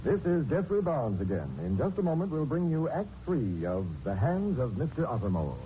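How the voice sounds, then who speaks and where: An actor as the host and narrator of a 1940s radio mystery, speaking with dramatic, emphatic delivery. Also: male voice, a little high, big pitch swings, a little fast, heavy background noise. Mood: persuasive. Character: ominous, taunting male radio mystery narrator. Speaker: host, narrator and product announcer. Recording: muffled 1940s radio recording with music.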